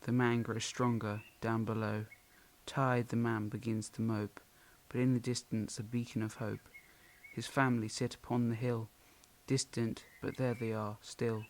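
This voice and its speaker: A man's voice: mid-range voice, little pitch movement, unhurried, a little hiss or hum, natural voice. A young man playing a self-doubting, poetic farmer's son in an amateur audio drama. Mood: solemn reciting.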